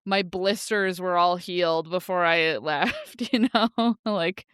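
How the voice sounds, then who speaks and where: Slightly low-voiced woman, casual and informal. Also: slow, fairly bright tone, clear, resonant tone, no room sound, studio quality. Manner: informal, often laughing. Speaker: podcast co-host. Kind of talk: casual book discussion.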